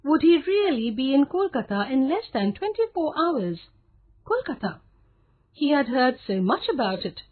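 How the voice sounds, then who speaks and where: A woman narrating a scripted story for children as a storyteller. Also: high voice. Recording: phone-like narrow, lo-fi sound.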